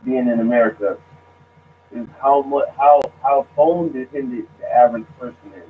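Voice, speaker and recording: A man's voice: mid-range voice, fairly even intonation, normal speed, slight background noise. Man with many restarts on a tinny phone line. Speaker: guest. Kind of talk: casual discussion. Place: lives abroad.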